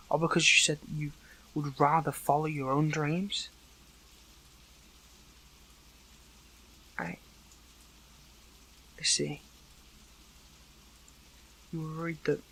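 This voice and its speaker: A man playing a weakened captive young superhero in an intimate audio roleplay, hurt but earnest, speaking haltingly with emotion. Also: on the high side, sharp tone, a little hiss or hum, low-quality recording. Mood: concerned, subdued.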